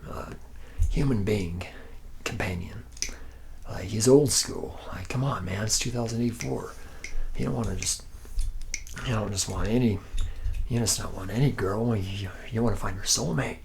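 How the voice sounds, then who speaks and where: A man acts out a tipsy, easygoing factory-worker ladies' man with a very low voice full of gravelly fry. He rambles in slack, boastful slang, doubling back on himself in a soft ASMR roleplay, with faint hiss behind him. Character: laid-back drunken womanizing male robot factory worker. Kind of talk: ASMR roleplay, soft improvised-sounding monologue.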